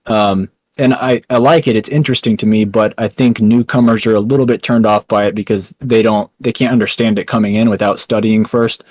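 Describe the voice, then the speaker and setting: A man's voice: fairly low pitch, fairly even intonation, fairly quick, few pauses, a little mellow, dry recording. A young man with a Texan accent, answering interview questions with many ums and restarts. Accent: Texan American. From Texas, USA. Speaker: founder and writer of a small news site.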